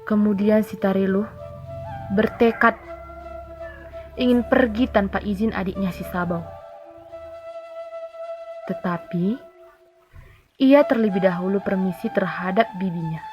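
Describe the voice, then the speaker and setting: A young adult woman narrating a regional folktale as a student storyteller, phrase by phrase with pauses. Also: mid-range voice. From North Sumatra, Indonesia. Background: music behind the voice.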